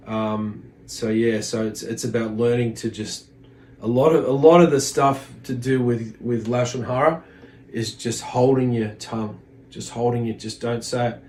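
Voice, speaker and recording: A man's voice: mid-range voice, a little mellow, a little hiss or hum. A man giving an informal religious lesson, reading aloud and explaining in a hesitant, reflective manner. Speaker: religious teacher.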